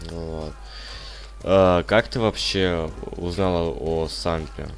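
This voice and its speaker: A man's voice: sits low, steady tone, takes it slowly, soft background music. A man hosting and interviewing, a bit ill, asking short plain questions. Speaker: interviewer, channel host. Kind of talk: casual interview.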